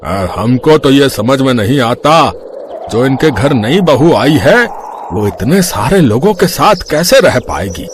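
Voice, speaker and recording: A man's voice: mid-range voice, very animated, takes it slowly, background noise. A man playing a chatty, gossiping village man in acted story dialogue. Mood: doubtful.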